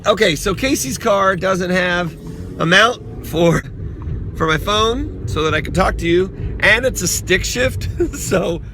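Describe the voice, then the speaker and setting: A hoarse man rambling chattily through his day as a vlogger, quick to laugh. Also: sits high, sharp tone, slight background noise. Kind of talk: casual daily vlog chat.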